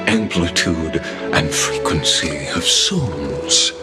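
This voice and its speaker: Deep, very slow, ceremonious ancient envoy. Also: male voice, lively intonation, loud music behind the voice, full character voice. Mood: calm, quietly menacing. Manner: formal, ceremonious, measured and deferential. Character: courteous, enigmatic ancient being serving as council elder. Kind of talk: fantasy game voice acting.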